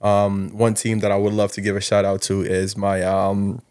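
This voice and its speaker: A man's voice: lowish voice, completely flat delivery, normal speed, a little mellow, smooth. A man from South Sudan talking casually and informally as a podcast guest, with slang and frequent "you know". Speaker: guest, college basketball coach.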